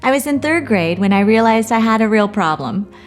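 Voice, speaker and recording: A woman leads a Bible study in an earnest, personal voice that sits a little high. She teaches with touches of light humor, and soft music plays quietly beneath her. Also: expressive, a little fast.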